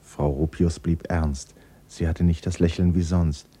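An actor as a grave, intimate storyteller, narrating a literary radio play in a measured, hushed way. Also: male voice, sits low, little pitch movement, breathy edge.

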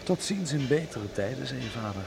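A man's voice: medium pitch, expressive, a little fast, creaky. A man acting an earnest young traveller saying farewell in a radio play, with restrained, short replies. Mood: wistful. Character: earnest young Dutchman saying goodbye to friends. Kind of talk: Dutch radio drama acting. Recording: noisy station platform background, vehicle sounds.

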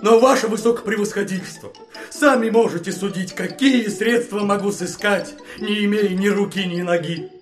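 An actor playing a hungry, desperate and stubborn war veteran captain in an audio play, pleading and insistent. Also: male voice, high-pitched, moderate pace, faint music behind, natural voice.

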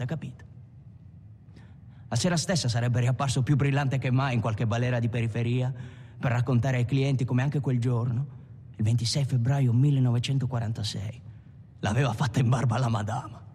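A man's voice: medium pitch, fairly even intonation, a little fast, dark tone, a little hiss or hum, lightly acted. An actor playing an Italian postwar gangster who recounts his violent past in a conversational film monologue. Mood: bitter, admiring. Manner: conversational storytelling with rhetorical tags. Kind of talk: dramatic first-person monologue in a film. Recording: music behind the voice. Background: music.

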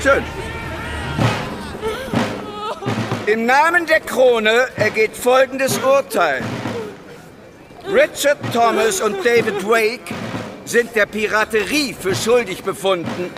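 An actor playing an officious Crown judge in a historical radio play, dry, formal and impatient. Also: male voice, very high voice, takes it slowly. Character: bored, officious male judge of the Crown passing sentence. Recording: music behind the voice.

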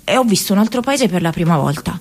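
An actress playing a restless, playful, intense college-age young woman in a radio theatre monologue, confessional and halting with dramatic pauses and bursts. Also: lowish voice, lively intonation. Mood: eager.

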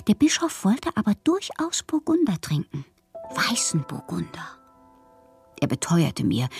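A woman playing a whimsical bohemian poet who confides her letters in an intimate, breathy, theatrical way for a radio drama. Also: mid-range voice, a little mellow. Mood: secretive, dreamy. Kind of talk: literary radio drama, letters read as monologue.

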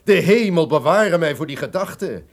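An actor playing a cunning, distrustful lord who feigns friendship, formal and insinuating in a gothic radio drama. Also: male voice, fairly high pitch, lively intonation, moderate pace. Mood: menacing.

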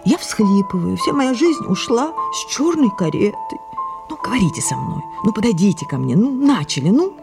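An old, celebrated French actress rules this audio drama. Her voice sweeps through very animated contours, commanding and theatrical, shifting fast in temper. Faint music sits behind her. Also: fairly high pitch, vocal fry. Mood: impatient, commanding. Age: older. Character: imperious, theatrical, aging great French actress dictating her memoirs. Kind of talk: audio drama stage acting.

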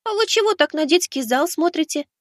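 A man's voice: extremely high pitch, animated, a little fast, fairly bright tone, dry recording, clean studio sound, voice pushed up. A man voicing an eerie, curious little girl with a sweet, innocently inquisitive manner. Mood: sly curiosity.

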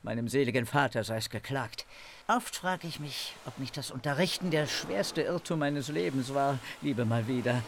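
An actor playing an ailing, brooding philosopher in an audio drama, witty and fervent, with formal, declamatory phrasing. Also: male voice, mid-range voice, moderate pace, vocal fry, slight background noise, lightly acted. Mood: brooding, eager. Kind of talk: German audio drama acting.

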